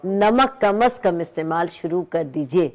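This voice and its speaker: A woman's voice: lowish voice, expressive, a little slow. A woman appearing as a studio guest, giving health advice in a hesitant, explanatory way with many fillers.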